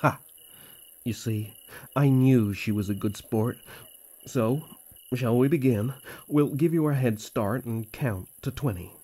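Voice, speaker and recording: A mischievous young elf prince in a fantasy roleplay, acted by a man. His voice sits at a middling pitch with a husky edge. He talks slowly, cajoling and teasing in a courtly way. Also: mellow. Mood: scheming, pleased. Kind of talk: fantasy audio roleplay acting.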